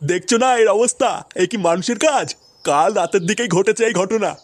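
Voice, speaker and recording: A man voicing a squeaky-high fretful old villager. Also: fairly quick, dry recording. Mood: alarmed, grumbling. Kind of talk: cartoon voice acting.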